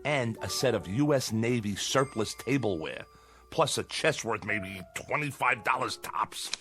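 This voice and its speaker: An actor playing a grouchy, sarcastic small-time thief with a streetwise, griping manner in a television drama. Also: male voice, mid-range voice, animated, unhurried, fairly bright tone, soft background music. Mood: scornful.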